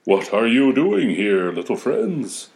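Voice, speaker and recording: A man's voice: mid-range voice, big pitch swings, slow pace, vocal fry, darkish tone, exaggerated voice. Man as old storybook Christmas spirit, mighty, kindly, resolute. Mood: startled, kindly.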